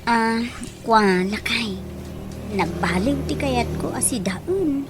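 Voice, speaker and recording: A woman playing a lazy, demanding pregnant wife who pleads in a drawn-out whine, in a comedic radio drama. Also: on the high side, expressive, music in the background. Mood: hesitant.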